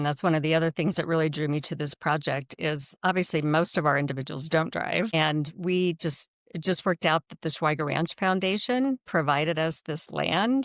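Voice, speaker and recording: Middle-aged American woman, nonprofit director as podcast guest, earnest and explanatory, gravelly fry, dry close sound. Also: deep voice, dry recording. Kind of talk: conversational interview answers.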